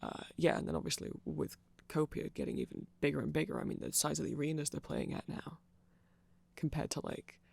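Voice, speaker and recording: A performer voicing a nerdy vampire in a casual ASMR roleplay, explaining things in a hesitant, digressive, conversational way with a creaky voice. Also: mid-range voice, level tone, fairly quick, dry recording. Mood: nerdy, rambling. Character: nerdy rambling vampire, eager fan explaining band lore.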